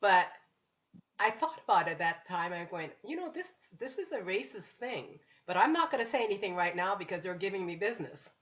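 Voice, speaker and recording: A woman's voice: mid-range voice, animated, a little fast, lo-fi sound. A woman working as a real estate broker, sharing a story animatedly on a community panel. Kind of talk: panel discussion over video call.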